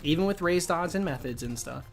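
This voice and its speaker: A gaming livestream late into the session: a guy with a slightly high voice, going a bit hoarse, talks opinions through with his chat over faint music. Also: animated, brisk. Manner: opinionated, explanatory, informal. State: losing his voice. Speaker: live streamer, host.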